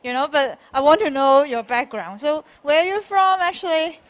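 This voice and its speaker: A woman's voice: high voice, lively intonation, moderate pace. A woman artist on a panel, casual and laughing lightly between remarks. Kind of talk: panel conversation.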